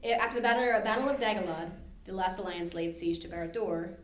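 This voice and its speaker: Chatty woman hosting a trivia quiz. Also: mid-range voice. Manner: chatty, quick asides, laughs readily. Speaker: library podcast host running trivia. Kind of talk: casual quiz chat. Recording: roomy echo with faint background hiss.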